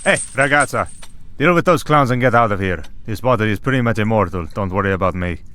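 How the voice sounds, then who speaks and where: Guy doing old immortal vampire priest, deep-ish voice, wry and protective, audio roleplay style. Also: expressive, quick pace, sharp tone. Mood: defiant. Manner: dry, sardonic, reassuring.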